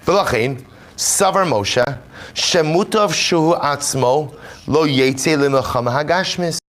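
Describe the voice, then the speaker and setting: A man's voice: on the high side, unhurried, fairly bright tone. A man teaching a Torah class as a rabbi. He reads Hebrew source text aloud, explains it in English, and repeats his key points for emphasis.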